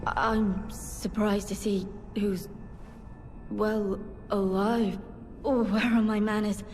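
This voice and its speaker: An actress playing a composed, courteous church servant in a video game, speaking with poise and deliberation. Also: female voice, mid-range voice, little pitch movement, slow pace, darkish tone, big-room sound, soft background music, natural voice. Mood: cool, polite.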